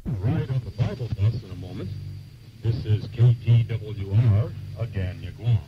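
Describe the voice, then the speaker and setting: A man's voice: on the low side, moderate pace. A man giving station identifications as a radio announcer over shortwave. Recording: very noisy muffled shortwave radio.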